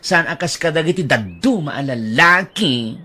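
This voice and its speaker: A woman voicing a lively, teasing female friend in an audio drama, full of exclamations. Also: deep voice, measured pace, husky, soft background music, heavily acted.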